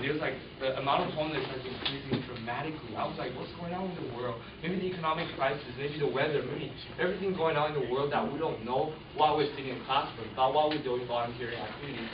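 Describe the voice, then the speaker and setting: A young man, a student volunteer, gives an earnest, loud talk to an audience, often restarting his sentences. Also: mid-range voice. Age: young adult. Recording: distant, muffled, echoey hall recording with noise.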